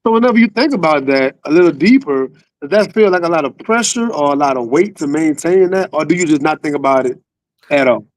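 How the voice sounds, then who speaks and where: Bright-voiced casual male podcast host, higher pitch. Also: lively intonation, fairly quick, slight background noise, poor audio. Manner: slangy, familiar address. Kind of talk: casual interview with upbeat hype intro.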